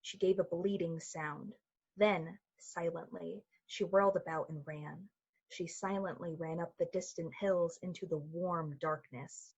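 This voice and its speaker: A woman's voice: on the low side, steady tone, measured pace, darkish tone, slightly rough, muffled recording. A woman playing the solemn storyteller of an eerie radio play, narrating a scripted tale. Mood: solemn, hushed.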